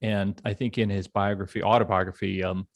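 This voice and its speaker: A man hosts a conversational podcast in a fairly low voice with plenty of fry. He tells anecdotes in measured, halting phrases. The recording is dry and free of room echo. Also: level tone. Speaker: podcast host.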